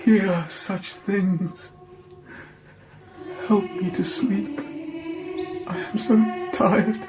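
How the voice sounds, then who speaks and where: An actor playing a tormented, exhausted young man haunted by horrors in a gothic audio drama, halting and repetitive. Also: sits high, steady tone, over music, poor audio.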